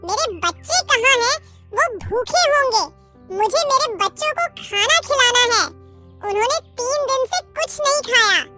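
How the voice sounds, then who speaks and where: A woman's voice: extremely high pitch, expressive, a little slow, sharp tone, faint music behind, full character voice. A woman voicing anxious, caring little birds in a children's cartoon, speaking in an earnest, plaintive way. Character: worried, caring female bird characters and hungry chicks in a children's cartoon. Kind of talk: Hindi children's cartoon story dubbing.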